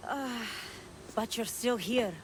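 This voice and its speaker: A woman voicing an anguished, earnest young woman with a dark hidden side, in a video game. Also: a little high, slow, a little hiss or hum. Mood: subdued, resolved. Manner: earnest, emotional. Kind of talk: video game cutscene acting.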